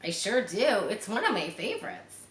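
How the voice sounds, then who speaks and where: A woman's voice: mid-range voice, very animated, normal speed, a bit bright, roomy sound, recorded from a distance. A woman voicing a cheerful, encouraging schoolteacher in a read-aloud storybook.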